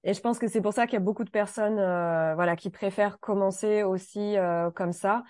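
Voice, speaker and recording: A woman's voice: medium pitch, steady tone, fairly quick, a little mellow, no echo, clean studio sound. A woman hosting a casual conversation about learning French, thoughtful and searching for her words with light laughs.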